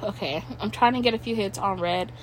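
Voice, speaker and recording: A woman's voice: medium pitch, a little fast, a little hiss or hum, low-quality recording. A young woman with a stuffy nose, rambling casually at home as a podcast host, tripping over her words and swearing now and then.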